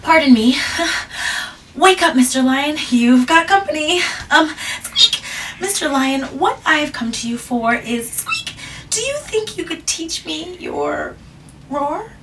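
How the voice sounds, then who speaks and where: A woman voicing a small, timid, polite mouse who squeaks as he talks. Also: sing-song, takes it slowly, fairly bright tone, recorded from a distance, slight background noise, heavily acted. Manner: squeaky, hesitant, overly polite.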